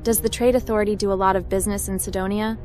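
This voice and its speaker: A woman's voice: medium pitch, fairly even intonation, very fast, a little mellow, faint music behind, close to an everyday voice. A woman voicing a cool, direct hacker captain in a video game roleplay, polite and businesslike. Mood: inquisitive.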